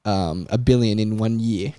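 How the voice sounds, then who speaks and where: A man's voice: on the low side, normal speed, warm tone, clear, resonant tone, dry recording. A man speaking as a football panellist in a casual debate, building an argument point by point.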